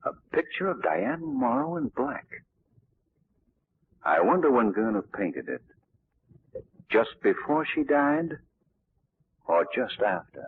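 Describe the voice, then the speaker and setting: A composed amateur sleuth from a 1940s radio mystery. His voice is husky and swings expressively, with measured, suave phrasing, heard through a muffled, narrow vintage recording. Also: mid-range voice, huge pitch swings, heavily acted. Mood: grave, deliberate. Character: composed, observant amateur sleuth, a well-bred man.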